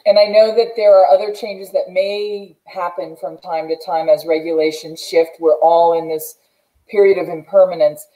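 A woman's voice: on the low side, fairly even intonation, normal speed, mellow, low-quality recording. A middle-aged American woman hosting an interview over a video call in an earnest, emphatic way. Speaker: show host. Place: indoors, plain room.

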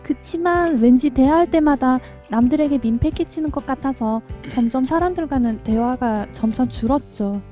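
A woman's voice: high-pitched, moderate pace, hardly any pauses. A woman reading a visual novel heroine's dialogue aloud on a game stream. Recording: dull lo-fi voice chat with music.

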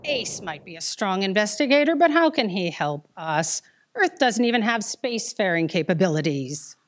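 A woman's voice: mid-range voice, expressive, normal speed, close to an everyday voice. An actress playing an impatient, prickly woman boss with a commanding, clipped manner in a staged audio drama. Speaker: stage actor. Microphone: stand microphone on stage.

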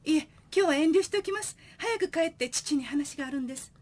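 Woman acting polite daughter in a radio comedy, pretty high voice. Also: expressive, fast talker, a little mellow. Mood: polite, reserved. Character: polite young daughter, repeatedly declining invitations. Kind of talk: comic radio drama acting.

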